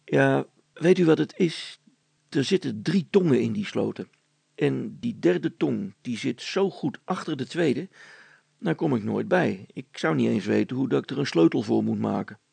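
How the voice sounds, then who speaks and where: A stolid storybook handyman voiced by a man with a very creaky, husky voice. He talks plainly and respectfully, never ruffled. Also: medium pitch, lively intonation, moderate pace, a little mellow, no room sound, exaggerated voice. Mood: matter-of-fact. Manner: matter-of-fact, imperturbable.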